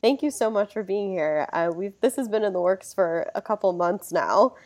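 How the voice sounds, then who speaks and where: Slightly hoarse woman hosting a podcast. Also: mid-range voice, animated, moderate pace, darkish tone. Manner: friendly, upbeat greetings with fillers. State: hoarse from a cold. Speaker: podcast host. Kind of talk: casual interview.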